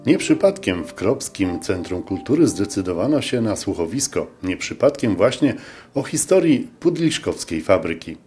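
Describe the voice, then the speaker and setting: A man's voice: on the low side, sing-song, moderate pace, a little mellow, soft background music. A man reading scripted narration for a local news report.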